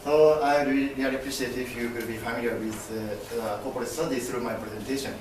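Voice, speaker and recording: Young man, Japanese accent, mid-pitched, presenting in class; halting with fillers, recorded by a far camera in a very echoey room. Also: fairly even intonation, background noise. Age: young adult. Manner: halting, many fillers and restarts, gestures broadly. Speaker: MBA student presenter. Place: classroom with projector screen.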